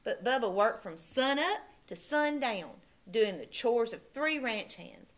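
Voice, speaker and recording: A woman's voice: a little high, measured pace. A woman librarian reading a folksy Western picture book aloud to children. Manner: animated, folksy storytelling. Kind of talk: storybook read-aloud.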